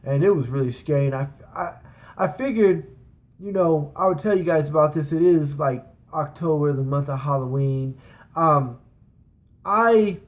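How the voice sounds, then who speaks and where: A man's voice: on the high side, normal speed. A man telling a casual, rambling personal story as a vlogger, slangy and emphatic, with plenty of swearing.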